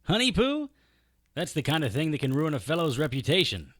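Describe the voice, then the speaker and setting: A tough, sardonic male private eye, played by an actor in a hard-boiled audio drama. His delivery is deadpan and unflappable yet moves widely in pitch, recorded close and dry. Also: fairly quick, no room sound. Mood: wry, unruffled. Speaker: narrator and lead actor. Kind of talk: hard-boiled detective audio drama acting with first-person narration.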